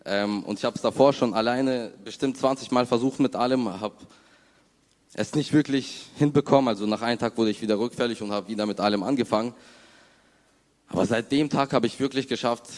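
A young man giving an unscripted personal testimony in informal, halting words. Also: mid-range voice, darkish tone. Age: young adult. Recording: long hall reverb.

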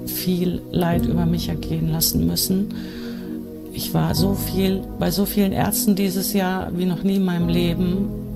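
Woman, low gravelly voice, flat, serious testimony, loud guitar behind. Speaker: testimony speaker. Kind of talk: personal testimony. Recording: over loud guitar music.

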